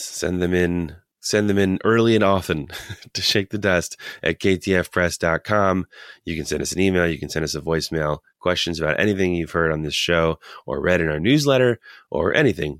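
A man with a deep voice hosts a podcast in a casual interviewing style. The sound is dry, with hardly any room around him. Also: a bit bright, no room sound. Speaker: podcast host.

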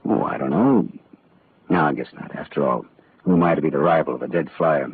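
An actor playing a wisecracking, world-weary private detective in 1940s radio style, clipped and sardonic. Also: male voice, extremely low pitch, fairly quick, husky, exaggerated voice. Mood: wry. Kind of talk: hard-boiled first-person narration and dialogue, 1940s radio acting. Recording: muffled old radio broadcast, faint music.

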